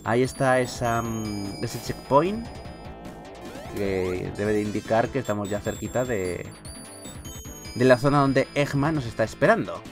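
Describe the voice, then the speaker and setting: Male gaming host, Peninsular Spanish accent, medium pitch, chatty and playful with light swearing, casual commentary over prominent game music. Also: unhurried. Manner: chatty, joking, mildly sweary. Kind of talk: casual Let's Play commentary. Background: video game music.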